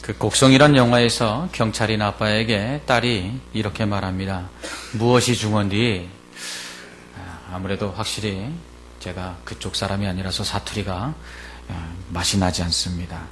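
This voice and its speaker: A male pastor delivers an expository sermon in a deliberate, explanatory way, stressing key words and posing rhetorical questions. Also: on the low side, steady tone, fairly bright tone, slight background noise.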